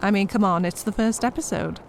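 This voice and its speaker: A woman's voice: medium pitch, a little fast, a little hiss or hum. A woman narrating scripted comedy horror, with wry, exasperated asides to the listeners.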